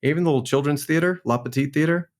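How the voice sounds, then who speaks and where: A man in his forties from New Orleans, a guest actor telling his career story in a relaxed, chatty way on a remote call. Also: a little high, fast talker. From New Orleans, Louisiana. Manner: relaxed, storytelling, frequent "man" and "you know". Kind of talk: casual interview chat.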